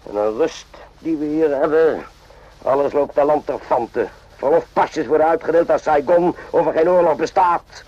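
An actor playing an irritable, suspicious army medic in a radio drama, with a gruff, interrogating manner. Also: male voice, a little high, normal speed, darkish tone, lightly acted. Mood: brooding, suspicious. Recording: dull lo-fi radio play recording.

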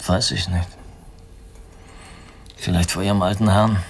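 A man's voice: sits low, steady tone, a little slow, a little hiss or hum. An actor playing a weary, self-pitying man who drinks, musing and cynical, in a radio crime drama. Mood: bitter, resigned. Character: weary, self-pitying male drifter and drinker, arrogant, fatalistic.

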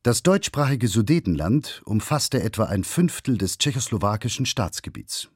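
A man's voice: fairly low pitch, sing-song, moderate pace, a little mellow, breathy edge, no echo. Man narrating history in a dry studio. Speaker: documentary narrator.

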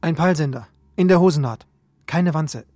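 An actor playing a brusque, skeptical member of a hunted group in a radio drama, challenging others in a husky voice. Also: male voice, on the high side, normal speed, mellow, dry recording, lightly acted. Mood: brusque, focused.

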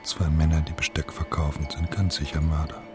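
Super low voiced harried man, dry sardonic inner monologue, radio play with music under it. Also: level tone, a little fast. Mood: uneasy, observing. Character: exasperated, harried man narrating his own absurd ordeal. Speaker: radio drama actor. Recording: music behind the voice.

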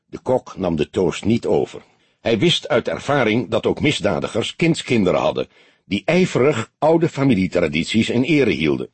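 A man's voice: fairly low pitch, big pitch swings, normal speed, slightly rough, no echo. A man reading a story aloud as an audiobook narrator, in scripted narration.

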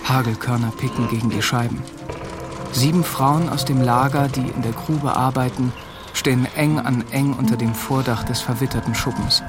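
Ein Schauspieler als stiller, achtzehnjähriger Soldat, der im Hörspiel schlicht und beobachtend von sich erzählt und spricht. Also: male voice, medium pitch, steady tone, normal speed, close to an everyday voice. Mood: pensive. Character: quiet, observant eighteen-year-old boy soldier Walter. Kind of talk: German radio drama acting and narration. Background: music.

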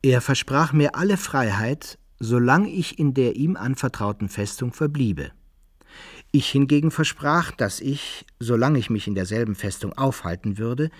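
A man's voice: mid-range voice, husky, no echo, clean studio sound. A man playing a composed old-time soldier who recounts his own adventures in formal literary radio-drama narration.

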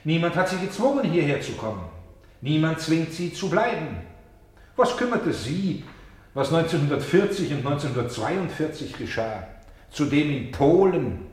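A man acting as an insistent, solemn narrator and director in a German radio play, speaking deliberately with emphatic pauses. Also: fairly high pitch, big pitch swings, a little mellow, echoey room. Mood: grave, challenging. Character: solemn, insistent male stage narrator presenting a grave play. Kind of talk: theatrical German radio play, addressing the audience.

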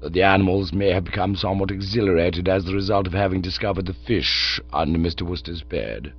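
A man doing a low, unflappable valet. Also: fairly quick, breathy edge, muffled recording. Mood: respectful. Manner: quiet, respectful, unflappable. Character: calm, deferential male valet.

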